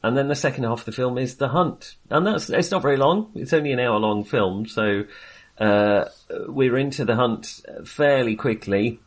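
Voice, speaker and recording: A man's voice: mid-range voice, moderate pace. A man hosting a casual film discussion, talking in a hesitant, jokey way with wry understatement. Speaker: film podcast host.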